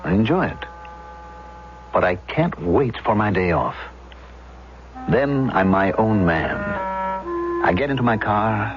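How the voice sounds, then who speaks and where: Pompous, animated radio drama blowhard. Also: male voice, lowish voice, slow, pauses often. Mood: self-satisfied. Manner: expansive, pompous, insistent, theatrical. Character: pompous, garrulous middle-aged man who plays invented roles. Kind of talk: 1970s radio drama acting. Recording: dull vintage radio, loud music behind.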